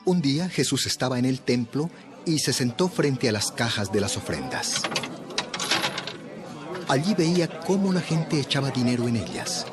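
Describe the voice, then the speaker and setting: Narrador de tono medio con música de fondo. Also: male voice, mid-range voice. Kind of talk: scripted narration of dramatized audio Bible. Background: music.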